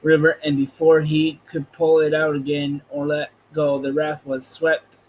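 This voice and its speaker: A man hosting and reading a storybook aloud in a halting, amateur way, with casual asides. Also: fairly high pitch, completely flat delivery, low-quality recording. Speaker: host reading a book aloud. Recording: noticeable background noise.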